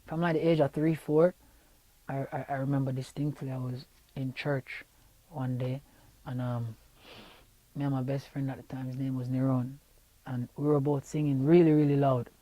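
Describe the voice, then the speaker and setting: A man's voice: medium pitch, flat delivery, moderate pace, mellow, no echo, rough recording. A young Jamaican man in an interview, talking in an easygoing way with lots of fillers and repeated words, on a lapel mic in a studio room. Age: young adult. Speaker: singer being interviewed. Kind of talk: relaxed interview chat. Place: radio station studio room.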